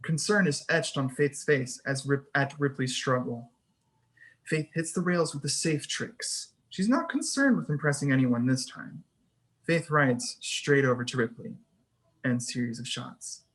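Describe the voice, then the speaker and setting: A narrator at a screenplay table read, with a voice of medium pitch. The delivery is scripted narration of scene headings and action. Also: measured pace, fairly bright tone, breathy edge. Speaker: narrator reading stage directions.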